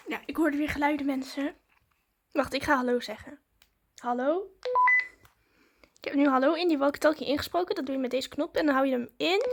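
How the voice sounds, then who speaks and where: A woman chatting informally to her viewers in a casual vlog, narrating what she is doing as she goes. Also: high-pitched. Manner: informal, chatty, narrates own actions as they happen. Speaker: vlogger.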